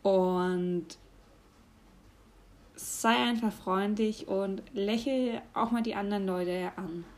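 A young woman, giving casual advice in a chatty way, often laughing and pausing with fillers. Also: mid-range voice, darkish tone. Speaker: vlogger.

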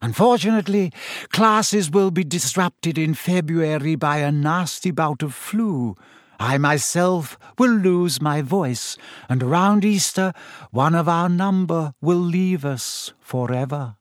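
A man voicing a dreamy, eerie woman seer, speaking slowly and softly in a misty voice. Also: on the high side, no room sound, clean studio sound. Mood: mystical. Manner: slow, soft, drawn-out delivery.

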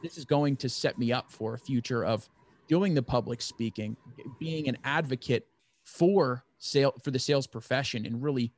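A man's voice: medium pitch, moderate pace, no echo. A man in his twenties chatting casually as he seeks advice, rambling and earnest. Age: 20s. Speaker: podcast host, first-time writer seeking advice. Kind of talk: casual chat.

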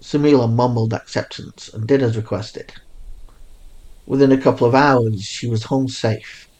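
A man's voice: lowish voice, darkish tone, low-quality recording. A man reading scripted story narration as the narrator of a piece of fiction.

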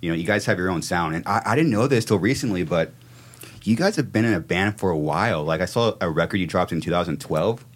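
A man's voice: low voice, lively intonation, quick pace. A man hosting a podcast interview, chatting eagerly in a loose, slangy way and often repeating his words. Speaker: podcast host. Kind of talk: casual interview chat.

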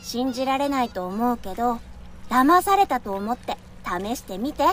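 High-pitched, cheeky teenage schoolgirl. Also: animated, normal speed, fairly bright tone, slight background noise. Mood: brisk, confident. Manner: casual, bossy, teasing. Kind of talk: Japanese audio drama acting.

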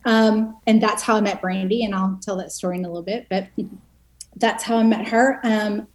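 A woman's voice: mid-range voice, steady tone, moderate pace, darkish tone. A woman in her forties from East Texas, a podcast guest telling her story in a chatty way that often laughs at herself. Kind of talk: casual conversational storytelling.

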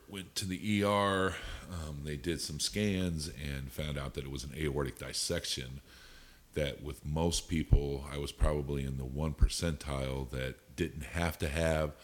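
Very deep, slow-talking man. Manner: wry, self-deprecating humor, halting speech. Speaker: podcast co-host. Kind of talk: casual chat.

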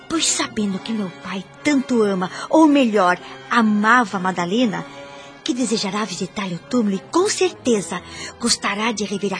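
A woman's voice: a little high, close to an everyday voice. An actress playing a jealous, scheming young noblewoman in a radio soap, with theatrical, conspiratorial, formal delivery. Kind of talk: radio soap opera acting. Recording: music under the voice.

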